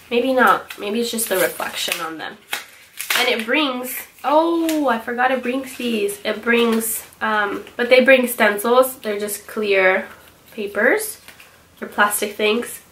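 A woman's voice: medium pitch, fairly bright tone. A young woman in her twenties chatting casually through a haul video, a little nasal from a cold and often correcting herself as she goes. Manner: chatty, rambling, self-correcting. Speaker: beauty vlogger. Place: small bright bedroom with louvered closet doors.

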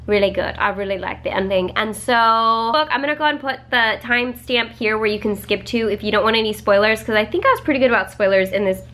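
Bright-voiced congested young woman vlogging. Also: mid-range voice, fast talker, hardly any pauses. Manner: chatty, lots of "like" fillers and laughs. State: congested from a cold. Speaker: book vlogger. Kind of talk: casual chat to camera. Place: home living room, indoors.

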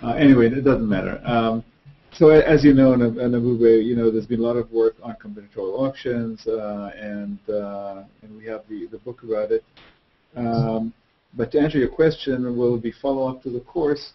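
A man's voice: mid-range voice, fairly even intonation, normal speed. A man moderating an online course Q&A from an office, hesitant with many fillers. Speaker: course instructor hosting a video call. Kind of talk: casual online Q&A. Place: office with painting on wall. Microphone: webcam mic on video call. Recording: muffled video-call audio.

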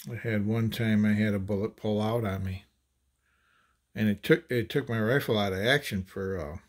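A man casually demonstrating ammunition handloading at a table, talking in halting phrases with many fillers and restarts. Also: fairly low pitch, fairly even intonation. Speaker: handloading channel host.

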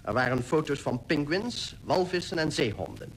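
An actor playing an admiring expedition member, recounting polar sights in a radio play. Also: male voice, on the high side, sing-song, moderate pace, a little hiss or hum, low-quality recording. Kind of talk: scripted radio drama narration.

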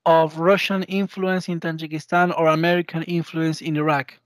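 A man with an Argentinian accent and Spanish as his first language, speaking halting English as he searches for words in an interview. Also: on the high side, level tone, dry recording. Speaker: podcast guest, professor of Persian. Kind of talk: interview conversation. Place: indoor room, video call.

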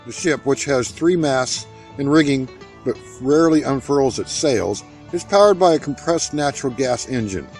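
A man narrating a history documentary from a script in a steady, factual way. His voice is mellow and warm at a mid pitch. Soft music plays faintly behind him. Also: normal speed. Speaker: narrator of a park history video.

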